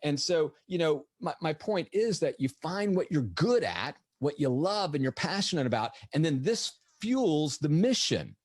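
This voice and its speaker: Slightly raspy man, lively presenter. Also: on the high side, normal speed, few pauses, no room sound, studio quality. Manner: energetic, rhetorical questions, frequent "right?". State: voice tired from lecturing all morning. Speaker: veterinarian presenter. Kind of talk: conversational webinar presentation.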